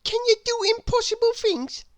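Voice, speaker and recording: A woman's voice: extremely high pitch, animated, normal speed, no room sound, pitched above the performer's own voice. A woman voicing a polite, inquisitive young schoolboy in a children's storybook. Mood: curious.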